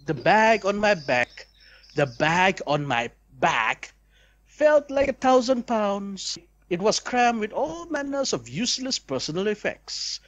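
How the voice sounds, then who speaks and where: A man's voice: high-pitched, sing-song, slow, fairly bright tone, muffled recording. A young man reads a visual novel aloud as a let's play host, with dramatic expression and asides.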